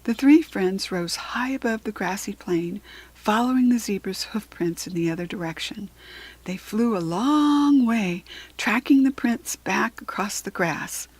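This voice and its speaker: A woman's voice: mid-range voice, animated, unhurried, breathy edge, no room sound. A woman with an American accent reading a children's storybook aloud as a narrator.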